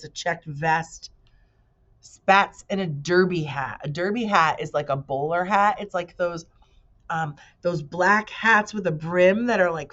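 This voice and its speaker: A woman with a fairly low voice speaks as a teacher reading to a class. She breaks from the story to explain things simply and casually, talking straight to her listeners. The recording is slightly dull and lo-fi. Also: fairly bright tone. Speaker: teacher reading aloud to students. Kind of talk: storybook read-aloud with conversational asides.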